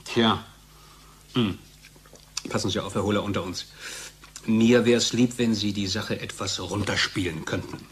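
An actor playing a pragmatic, calculating company colleague in a German radio drama, with a cajoling, conversational manner. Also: male voice, lowish voice, steady tone, measured pace, long pauses, a little hiss or hum, close to an everyday voice. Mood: confiding, cajoling. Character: pragmatic, persuasive male pharmaceutical company colleague, smoothly calculating.

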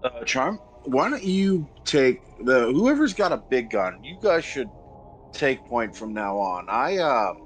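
A man's voice: mid-range voice, sing-song, takes it slowly. A man talks casually as a player in a tabletop game. His voice sits in a middle register, with faint noise in the background.

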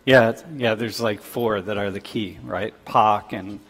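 A man's voice: on the low side, steady tone, measured pace, a bit bright, slightly rough. An older man teaching a lecture class, chatty and casual, questioning students in a large lecture hall. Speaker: professor.

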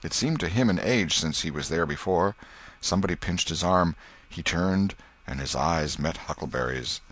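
A man narrating an audiobook in an expressive, literary storytelling style with a dramatic delivery. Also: low voice, normal speed.

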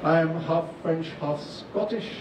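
A man's voice: on the high side, unhurried. A middle-aged man with an English accent giving a formal, ceremonious welcome speech as a ship's captain into a handheld microphone, with some self-mocking asides. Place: outdoor ship deck at night. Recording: echoey, distant, dull, noisy PA sound.

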